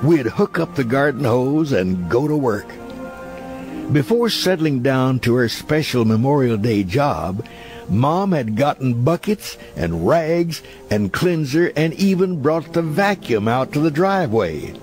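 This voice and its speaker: A man's voice: medium pitch, big pitch swings, unhurried, a little mellow. A man reading a scripted memoir as a narrator, in a nostalgic, reflective storytelling manner. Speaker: audiobook narrator. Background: background music.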